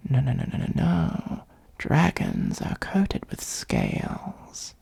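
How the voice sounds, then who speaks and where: A performer of unclear sex voicing an ancient, haughty dragon in a comedic fantasy ASMR roleplay, with a breathy, husky, gravelly voice and a sardonic, condescending, musing manner. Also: medium pitch, lively intonation, slow, mellow, full character voice. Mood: haughty, bargaining. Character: ancient gold-hoarding dragon, haughty, dryly exasperated.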